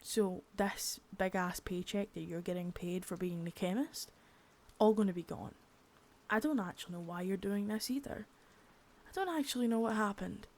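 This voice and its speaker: A woman's voice: mid-range voice, normal speed, darkish tone, close to an everyday voice. A woman voicing a stern, foul-mouthed female boss in an ASMR roleplay, harsh and cold, often dropping to a whisper.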